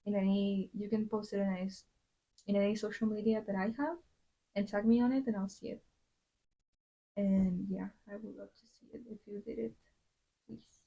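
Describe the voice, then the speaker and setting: A woman's voice: mid-range voice, steady tone, moderate pace, pauses often, mellow, lo-fi sound. A young woman from Latin America, chatting casually on a drawing livestream and rambling with many fillers and restarts. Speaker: streamer.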